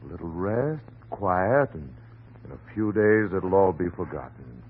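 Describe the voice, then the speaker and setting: An actor playing a steady, reassuring family doctor, earnest and firm, in an old radio horror drama. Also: male voice, sits low, unhurried. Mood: soothing, firm. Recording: very muffled, noisy old radio recording.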